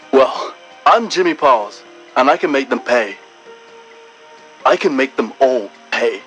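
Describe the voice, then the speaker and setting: A man playing a bitter, desperate injury lawyer abandoned by his wife, delivering a parody radio advert as an aggrieved pitchman. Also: a bit bright, low-quality recording. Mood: vengeful, desperate. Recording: tape recording with faint music.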